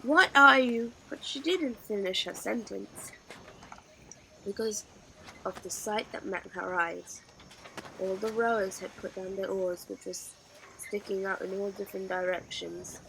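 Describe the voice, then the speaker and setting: A woman's voice: mid-range voice, fairly even intonation, unhurried, vocal fry, long pauses, noisy background. A woman narrating a children's novel expressively, as a storybook reader.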